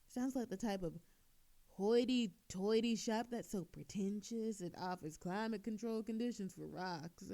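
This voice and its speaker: A woman's voice: medium pitch, measured pace, dark tone, natural voice. A woman playing an obnoxiously flirtatious, sarcastic female thief in a scripted audio roleplay, teasing coyly and theatrically. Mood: mock innocent, sarcastic.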